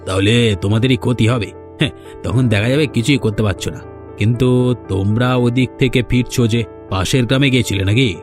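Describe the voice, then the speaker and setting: A prying village busybody from an animated folk tale, voiced by a man. He questions people in a menacing way at a middling pitch. Music is clearly heard behind him. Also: a little fast, close to an everyday voice. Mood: prying, threatening.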